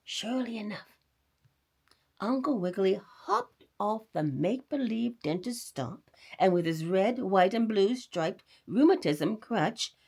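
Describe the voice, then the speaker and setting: A woman reading a children's story aloud, dramatic and expressive, dropping at times into a hush. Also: mid-range voice, measured pace, husky.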